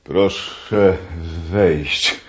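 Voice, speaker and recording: A man's voice: low-pitched, drawn-out words, muffled recording, a little hiss or hum. A man acting a flustered, deferential valet in a radio play, polite, hesitant and stammering. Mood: nervous, deferential.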